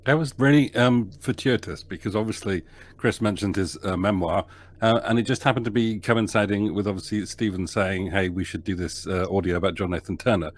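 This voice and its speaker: A man's voice: lowish voice, a little fast. A man who produces audio dramas, talking through his process in a casual podcast interview, rambling with frequent ums and restarts.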